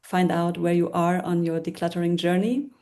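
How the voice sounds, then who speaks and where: German lady guest on a video call, mellow voice, hesitant, lots of restarts. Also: on the low side, level tone, normal speed. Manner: hesitant, with many restarts and fillers. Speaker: workshop guest, decluttering coach.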